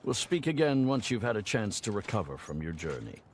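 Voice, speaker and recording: An actor playing a gracious, plain-spoken fort general in a video game, formal and declamatory in delivery. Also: male voice, fairly low pitch, sing-song, a little fast, slight background noise. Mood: warm, somber. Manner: formal, old-fashioned, declamatory. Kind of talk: dramatic video game cutscene acting. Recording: loud music under the voice.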